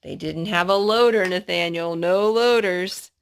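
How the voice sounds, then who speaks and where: A woman's voice: on the low side, a little slow, gravelly, background noise. A woman storyteller reading a historical children's story aloud to a young listener.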